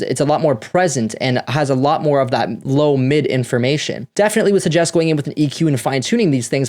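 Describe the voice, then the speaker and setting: A man's voice: on the high side, darkish tone. A man hosting a music production tutorial, talking through the steps in a casual, instructional way.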